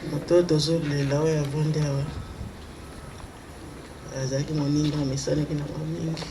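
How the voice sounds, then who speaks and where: Male mourner, flat earnest tribute, somewhat high voice, noisy recording. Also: normal speed. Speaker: mourner giving a testimony. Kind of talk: personal tribute.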